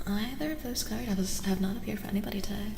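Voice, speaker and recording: A soft-spoken woman hosting a tarot stream in a casual ASMR style, often dropping into a whisper. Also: medium pitch, fast talker, creaky. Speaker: streamer host giving tarot readings. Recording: reverberant echo, music behind. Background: music.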